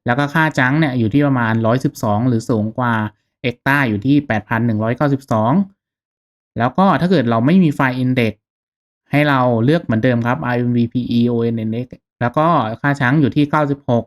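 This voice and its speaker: A man narrating a casual step-by-step software tutorial in an informal, instructional manner. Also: mid-range voice, brisk. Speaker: tutorial host.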